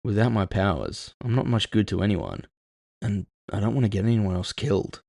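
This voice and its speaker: A man's voice: fairly low pitch, normal speed, vocal fry, a little mellow, no echo, clean studio sound. A man playing a brooding, world-weary cyborg gunman, introspective and full of self-doubt, in an audio story. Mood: resigned, reflective.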